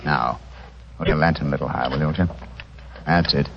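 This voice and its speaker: An actor with a British accent playing a brilliant, self-assured gentleman detective, crisp and commanding, in 1940s radio style. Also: very deep voice, fast talker. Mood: assured, composed. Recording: muffled, noisy 1940s radio recording.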